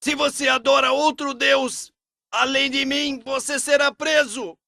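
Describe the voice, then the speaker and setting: A haughty cartoon Pharaoh. The voice is high and piercingly bright, in a dry recording. He is mocking and menacing, like a ruler used to giving orders. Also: fairly even intonation, a little slow, dry recording, full character voice. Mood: angry, commanding. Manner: scornful, shouting, threatening. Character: haughty, mocking, tyrannical Pharaoh, adult man. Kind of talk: dubbed cartoon religious story dialogue.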